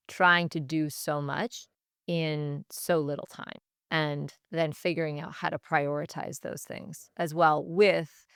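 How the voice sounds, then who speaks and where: A woman giving thoughtful interview answers as a teacher, in a reflective, measured way, pausing to choose her words. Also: low-pitched, gravelly, a bit bright, smooth, dry recording, clean studio sound. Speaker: Spanish teacher, podcast guest.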